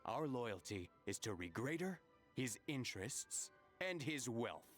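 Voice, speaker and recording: A man's voice: mid-range voice, big pitch swings, unhurried, faint music behind. An actor voicing a mercenary debt collector in a video game, a loyal henchman with a scornful, self-assured manner. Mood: scornful, businesslike.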